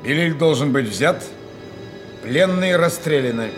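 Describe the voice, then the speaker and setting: An actor playing a firm, formal royal officer in a radio drama, speaking in an official manner. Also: male voice, fairly high pitch, lively intonation, unhurried. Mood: stern. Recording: music under radio play. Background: music.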